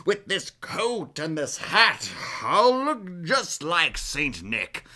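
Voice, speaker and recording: A man voicing a sour, scheming old storybook creature, snarling and hissing in a drawn-out, menacing way. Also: fairly high pitch, huge pitch swings, bright, forceful tone, exaggerated voice. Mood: gleeful scheming. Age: fifties. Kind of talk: storybook character voice.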